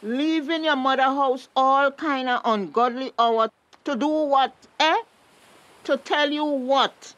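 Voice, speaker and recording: Protective scolding mother, Tobagonian accent, highish voice, unhurried, lecturing, radio drama. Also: a bit bright, no echo, highs cut off. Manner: reproachful, lecturing.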